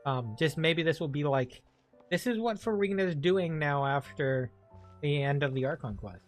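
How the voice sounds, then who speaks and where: A man's voice: on the high side, animated, moderate pace, faint music behind. A man giving casual let's play commentary, thinking aloud in a hesitant, rambling way. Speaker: game streamer.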